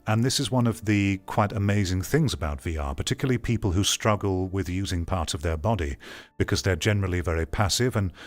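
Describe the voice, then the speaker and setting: A man giving opinionated commentary on an article, reading parts aloud and reacting in a blunt, dismissive, argumentative way. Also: fairly low pitch. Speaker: video commentator.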